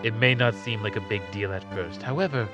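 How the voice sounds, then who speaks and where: A man's voice: lowish voice, fairly quick, lo-fi sound, music in the background, close to an everyday voice. An actor playing a smug, menacing male blackmailer in an audio drama, mocking and theatrical, drawing out his insinuations. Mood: smug threatening. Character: smug menacing blackmailer, needling a police chief.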